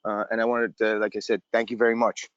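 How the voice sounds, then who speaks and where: A man's voice: fairly low pitch, level tone, quick pace, no room sound. A man from the audience earnestly thanking the guest and asking a question, stumbling over repeated words. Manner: earnest, effusive, stumbling repetitions. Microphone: video call. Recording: dull dry video-call audio.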